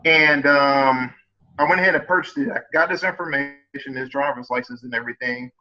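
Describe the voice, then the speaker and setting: A man calling into an online session, speaking hesitantly and filling pauses with ums. His voice is bright and a little tense. The call audio is dull and lo-fi. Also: mid-range voice. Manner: hesitant, many fillers. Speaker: student caller. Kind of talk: casual call.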